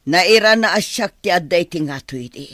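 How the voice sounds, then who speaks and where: Casting: envious, boastful Filipino villager, high-voiced, complaining, close dry radio drama. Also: male voice, expressive, moderate pace, pushed and bright, no echo. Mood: grumbling, envious. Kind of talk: Ilocano radio drama acting.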